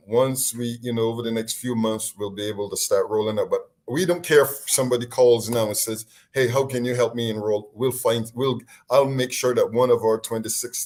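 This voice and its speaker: Earnest guest on a call line. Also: male voice, fairly low pitch. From Barbados. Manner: earnest, animated, frequent restarts. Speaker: deputy court commissioner, guest. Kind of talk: casual interview on a call. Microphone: remote call line.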